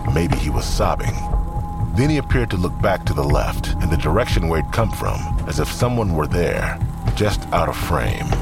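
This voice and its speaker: A man narrating a scripted audio drama in a measured, suspenseful, storytelling manner. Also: deep voice.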